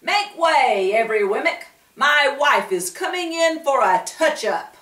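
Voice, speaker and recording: A woman's voice: high-pitched, animated, measured pace, fairly bright tone, pitched above the performer's own voice. A woman voicing a pompous male wooden mayor, bossily announcing to a crowd. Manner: announcing, bossy. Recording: roomy, distant mic.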